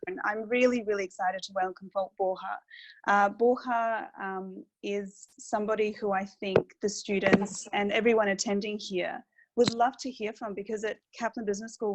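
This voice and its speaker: A woman, an academic director, warmly introducing a guest with eager words and some hesitant fillers. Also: medium pitch, rough recording. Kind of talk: welcoming introduction.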